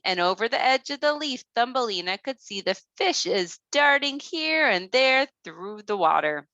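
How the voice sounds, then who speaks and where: A woman storyteller narrating a children's tale, expressive and careful in her read-aloud delivery. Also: mid-range voice, no echo, muffled recording.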